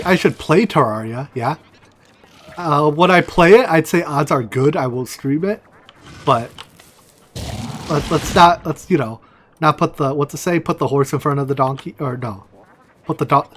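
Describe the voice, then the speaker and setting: A man whose first language is Chinese, casually talking to himself and his viewers while he plays a game, with mumbled asides and excited outbursts. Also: fairly high pitch, moderate pace, a little hiss or hum. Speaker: streamer. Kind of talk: casual gameplay commentary.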